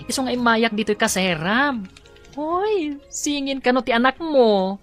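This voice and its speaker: A woman's voice: fairly high pitch, expressive, a little slow, a bit bright, faint music behind. A woman playing a scandalized, reproachful Filipino neighbor in a radio drama, exclamatory and scolding. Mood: shocked, reproachful.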